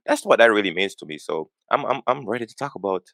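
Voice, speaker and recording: A man's voice: lowish voice, animated, fast, gravelly, a bit bright, no echo. A man in his forties, from Jamaica, talking earnestly as a podcast guest and repeating words as he gets going. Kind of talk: conversational interview.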